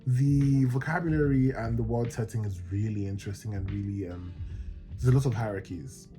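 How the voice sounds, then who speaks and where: Excitable man gushing about books. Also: mid-range voice, a little fast, soft background music. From Nigeria. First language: Yoruba. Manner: excitable, gushing, rambling with restarts. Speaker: book vlogger. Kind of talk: casual reading vlog chat.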